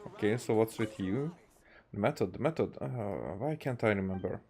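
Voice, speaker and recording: Low-voiced Hungarian man streaming a game. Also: lively intonation, a little mellow. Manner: halting, thinking aloud, talking to himself. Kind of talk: casual gameplay commentary, at times reading dialogue aloud.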